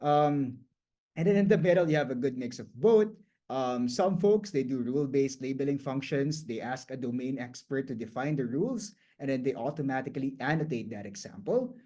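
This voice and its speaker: A man whose first language is Tagalog, giving a guest lecture in a loose, conversational way, often restarting phrases and filling pauses with "um" and "like". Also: mid-range voice, lively intonation, mellow. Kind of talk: explanatory lecture.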